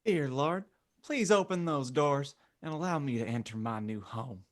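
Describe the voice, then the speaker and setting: A man's voice: mid-range voice, animated, moderate pace, close to an everyday voice. A pious young schoolboy, voiced by a man in a homemade fan fiction reading. His lines come out earnest and indignant.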